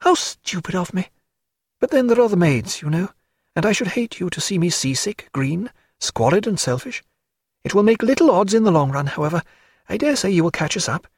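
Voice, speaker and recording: Brief: man playing a genteel lady, raised voice, quick and animated, slightly husky, dry read. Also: high voice, no room sound, voice pushed up, full character voice. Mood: fond, chattering. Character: elegant lively woman, fond and teasing. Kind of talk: audiobook character voice.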